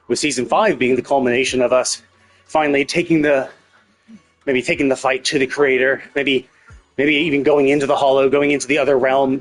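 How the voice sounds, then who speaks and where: A man's voice: medium pitch, rough recording. A man talking casually on a game livestream, thinking aloud in a rambling way and often repeating his words. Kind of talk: casual livestream commentary, speculating.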